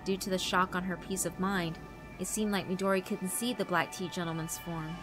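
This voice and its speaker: A woman's voice: fairly low pitch, steady tone, brisk, over music. A woman narrator reading a horror visual novel as dramatic scripted storytelling.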